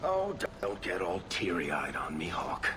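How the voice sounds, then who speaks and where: A man's voice: low-pitched, huge pitch swings, a little slow, music in the background, full character voice. An actor playing a streetwise, sardonic dwarf businessman and loyal sidekick in a video game, with a teasing, familiar manner. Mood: wry, teasing.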